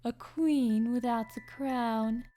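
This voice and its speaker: A woman voicing a cold, riddling witch who speaks prophecy in a measured chant. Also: fairly high pitch, steady tone, warm tone. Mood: ominous. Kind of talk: fan audio drama acting.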